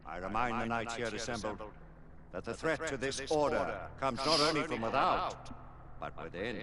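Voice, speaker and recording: A man's voice: medium pitch, expressive, moderate pace, a crowd in the background, natural voice. A man playing a stern, proud, ancient Lord Chancellor of knights in a video game drama, speaking in solemn, formal, commanding oratory. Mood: stern warning.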